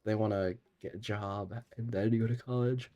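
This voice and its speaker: A man's voice: fairly low pitch, fairly even intonation, moderate pace, warm tone, dull audio. A young man streaming a game while sick, chatting in a rambling way and laughing often. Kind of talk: casual gaming stream chat.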